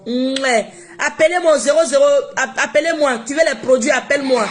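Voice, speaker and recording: A woman's voice: high-pitched, brisk. Emphatic woman live streaming, non-native French. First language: not French. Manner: emphatic, repeats herself. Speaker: social media live streamer. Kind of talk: casual live-stream monologue.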